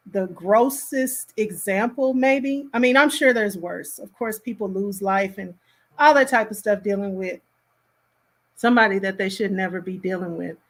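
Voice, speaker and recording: A woman hosting a casual reaction commentary, speaking in an appalled, emphatic and halting way. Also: mid-range voice.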